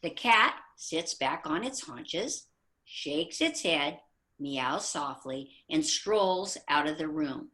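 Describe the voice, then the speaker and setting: A woman narrating a comic tale slowly and expressively, with a very lively rise and fall in a lowish voice. She tells it the way readers theater is told. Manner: slow, expressive storytelling.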